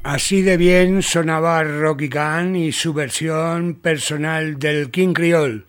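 Man, Spain accent, slightly high voice, music radio presenter, semi-scripted delivery. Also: fairly even intonation, slow, clear, resonant tone. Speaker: radio host of a vintage music show.

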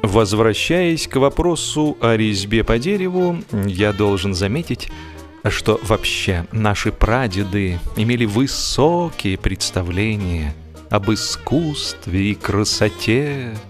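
Low-voiced man narrating expressively over music. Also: expressive. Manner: theatrical, with deliberate pauses and stretched words for emphasis. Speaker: audiobook narrator and actor. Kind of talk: expressive humorous literary narration.

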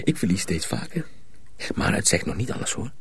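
Low creaky calm secretive mentor. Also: male voice, fairly quick, heavily acted. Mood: cautioning, calm. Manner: quiet, cautioning, reassuring. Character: calm, secretive older friend and mentor, male. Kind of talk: radio drama acting.

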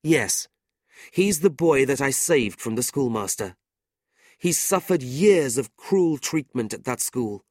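A man's voice: mid-range voice, animated, takes it slowly, no echo, clean studio sound, voice pushed up. A man voicing a proud, honest young man who speaks earnestly and flares with indignation, in a storybook reading. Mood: indignant.

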